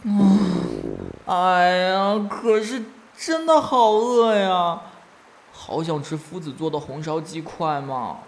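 A man's voice: sits high, expressive, slow pace, vocal fry, exaggerated voice. A young fox spirit in a period radio drama. He pouts and whines, bashful one moment and jealous the next, in a light voice. Faint ambient noise sits behind him. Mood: worried, whiny. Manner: whiny, pouting, emotional. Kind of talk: Chinese period audio drama acting.

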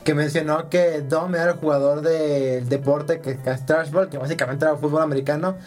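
A man's voice: fairly high pitch, fairly even intonation, brisk, darkish tone. A man with a Mexican accent hosting a casual video game podcast, joking and teasing in slangy speech over background music. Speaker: podcast host. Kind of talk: casual banter about video games.

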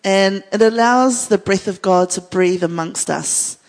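A woman's voice: medium pitch, a little slow. New Zealand woman in a reverberant hall. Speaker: worship leader, guest speaker. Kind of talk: unscripted panel conversation about faith. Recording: echoey hall with long reverb.

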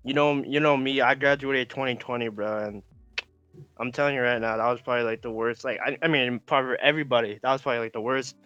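A man's voice: medium pitch, fairly even intonation, fast, sharp tone, clean tone, dry recording, dull audio, faint music behind. A man in his late teens from Canada, chatting as a podcast guest in rambling slang full of "like" and "bro". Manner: laid-back, rambling slang with many fillers. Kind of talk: casual chat.

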